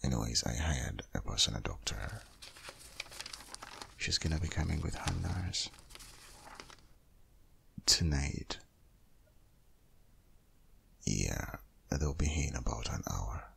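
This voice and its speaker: Man acting very deep, gravelly, breathy protective boyfriend. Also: expressive, slow, pauses often, fairly bright tone, a little hiss or hum, exaggerated voice. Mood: firm, protective. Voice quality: very deep, gravelly, husky, often half-whispered. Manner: insistent, intimate, pleading. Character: protective, worried boyfriend of a pregnant woman. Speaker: audio roleplay performer. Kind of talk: boyfriend ASMR roleplay, close and breathy.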